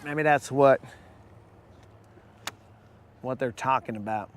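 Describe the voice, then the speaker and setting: A man hosting a live fishing stream from a boat, talking casually with viewers in a hoarse voice. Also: medium pitch, slow, a little hiss or hum. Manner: casual, slangy, calls viewers bro. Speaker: fishing channel host. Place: outdoors on a boat on a lake.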